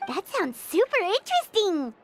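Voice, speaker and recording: A woman voicing a tiny, excitable, childlike fairy companion in a video game, with a squeaky voice. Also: normal speed, a little hiss or hum, full character voice. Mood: curious. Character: tiny excitable floating fairy girl companion, childlike, third-person speech. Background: music.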